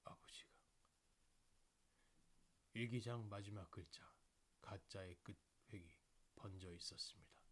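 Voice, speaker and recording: A man narrating a sentimental scripted story in a solemn, dramatic, deliberate manner. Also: sits low, breathy edge. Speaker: audiobook narrator.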